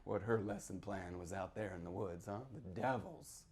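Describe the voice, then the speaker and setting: A man performing a dramatic reading as a haunted, folksy small-town man who tells his tale in halting, rueful asides. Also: low-pitched, fairly even intonation, background noise, natural voice. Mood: brooding, hushed. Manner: folksy, halting, rhetorical asides, swings between hush and outburst. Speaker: author reading from his novel. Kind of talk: theatrical dramatic reading, first-person monologue.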